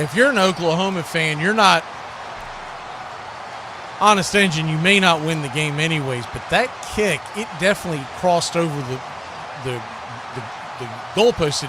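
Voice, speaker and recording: A man's voice: fairly high pitch, normal speed, background noise. A man hosting a live stream, calling football play by play with casual, chatty asides between plays. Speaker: play-by-play host of a football watch party.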